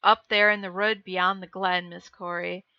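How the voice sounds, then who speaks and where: A woman's voice: lowish voice, flat delivery, normal speed, no echo, pitched below the performer's own voice, natural voice. A woman voicing a frightened, uneducated farm boy speaking rustic dialect in a horror story. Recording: dull lo-fi dry recording.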